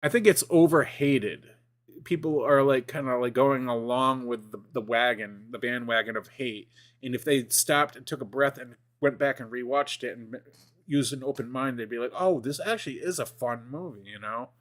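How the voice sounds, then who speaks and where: A man in his forties chatting casually as a streamer, rambling and excitable, with lots of fillers and restarts. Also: mid-range voice, moderate pace. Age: 40s. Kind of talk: casual gaming chat.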